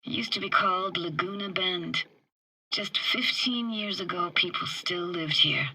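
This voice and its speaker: A woman's voice: fairly low pitch, unhurried, sharp tone, slight background noise, exaggerated voice, rough recording. A woman playing a streetwise young tech in a cyberpunk video game, guarded but tender, with casual, clipped slangy phrasing. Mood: calm, focused. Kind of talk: naturalistic video game voice acting.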